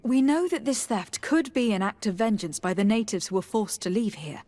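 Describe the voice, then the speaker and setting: A woman voicing a polite, inquisitive young noblewoman diplomat in a video game, speaking in a measured, formal way. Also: medium pitch, lively intonation, a little mellow, lightly acted. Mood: measured, inquiring. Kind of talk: video game dialogue acting.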